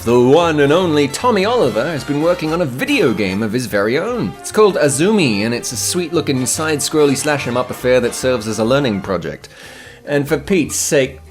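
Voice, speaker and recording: Guy doing jokey scripted host narration, super animated and theatrical, bit of music behind him. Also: a little high. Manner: theatrical, mock-grand, playful alliterative flourishes.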